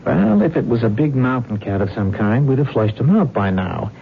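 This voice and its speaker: A man's voice: medium pitch, very animated, normal speed, creaky. An actor playing an earnest, polite young man who stammers hesitantly, in old-time radio drama style. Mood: uneasy. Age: young adult. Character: earnest, flustered young fiancé investigating strange deaths. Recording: narrow, noisy old radio recording.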